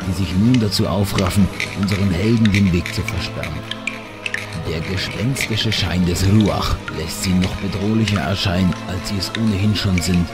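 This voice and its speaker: A man with a deep voice narrates a fantasy audio drama from a script. Music plays clearly behind him.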